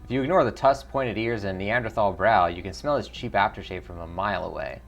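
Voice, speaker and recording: A man's voice: on the low side, a little fast, creaky, highs cut off. A man streaming a video game, switching between casual commentary and reading the narration aloud.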